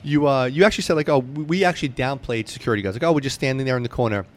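A man's voice: medium pitch, very fast. An American man hosting a casual interview, asking questions in a blunt, informal, slangy way. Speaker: podcast host, interviewer.

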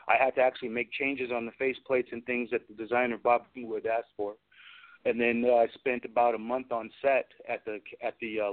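A middle-aged man, a guest craftsman, telling chatty anecdotes in an interview and acting out bits of conversation as he goes. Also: medium pitch. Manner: chatty, recounts conversations in quoted dialogue. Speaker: guest, special effects craftsman. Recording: tinny phone-line radio interview.

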